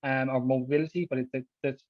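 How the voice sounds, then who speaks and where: A man speaks quickly and earnestly on an online panel and restarts his phrases as he goes. His voice comes through dry and a little muffled. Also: medium pitch, fairly even intonation, no echo. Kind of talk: panel discussion. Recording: dull, dry home audio.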